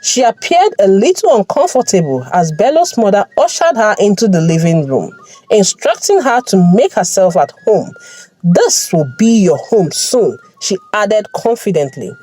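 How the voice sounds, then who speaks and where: A woman's voice: mid-range voice, very animated, normal speed, warm tone, rough recording. A woman storyteller reading a scripted folktale narration.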